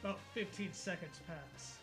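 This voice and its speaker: High pitched guy reading a story out loud, swears and mocks it, music in the back. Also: moderate pace. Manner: sweary, mocking. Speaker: co-host reading a story aloud. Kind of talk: casual comedic read-along with commentary. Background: background music.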